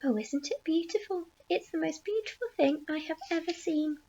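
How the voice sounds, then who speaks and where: A woman voicing a gentle, spellbound little boy from a storybook, murmuring quietly in wonder. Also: very high voice, moderate pace, dull audio, pitched above the performer's own voice. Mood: awed.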